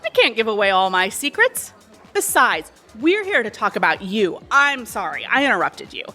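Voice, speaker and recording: A pushy cocktail waitress in her thirties with a high, very lively voice. She chats breezily and gets too familiar, with a sly edge, in an audio play with music behind her. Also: normal speed, heavily acted. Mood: sly, insinuating. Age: 30s. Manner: breezy, familiar, insinuating, talkative. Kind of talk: audio drama acting.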